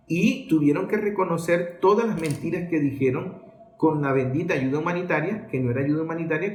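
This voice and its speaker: A man's voice: on the high side, a little mellow. A man with a Venezuelan accent giving political commentary in an emphatic, rhetorical way, posing questions and answering them. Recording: roomy, echoing sound.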